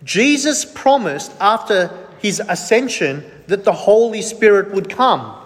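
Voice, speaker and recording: High-voiced preacher in an echoey hall. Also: male voice, lively intonation, measured pace. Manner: emphatic, asks the congregation questions and answers them himself. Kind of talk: sermon.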